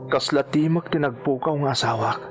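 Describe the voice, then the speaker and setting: A male actor plays an earnest surgeon aching for his missing wife. His speech has an Ilocano accent and the intent delivery of radio drama, at a middle pitch. Music plays underneath. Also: a little fast, lightly acted.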